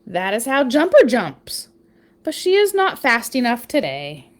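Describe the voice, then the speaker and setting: High, lively, unhurried woman reading a picture book. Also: a little hiss or hum. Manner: expressive, dramatic emphasis, chatty asides. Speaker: children's picture book reader. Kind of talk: animated read-aloud storytelling with casual asides.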